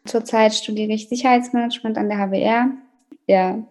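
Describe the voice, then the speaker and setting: A woman's voice: a little high, steady tone, a little fast, a little mellow. A young woman from Colombia, a native Spanish speaker and student, telling stories casually in an interview.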